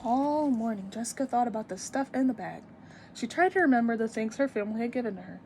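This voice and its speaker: A woman's voice: fairly high pitch, expressive, fast, noisy background. A woman in her twenties reading a picture book aloud expressively for young children, with teacherly emphasis and asides. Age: 20s.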